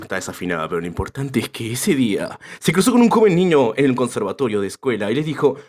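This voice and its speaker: A man with an Argentine accent, founder of a language academy, telling stories in a relaxed, joking way. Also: mid-range voice, fast talker, over loud music. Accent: Argentine Spanish. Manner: laid-back, storytelling, joking. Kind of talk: casual group chat.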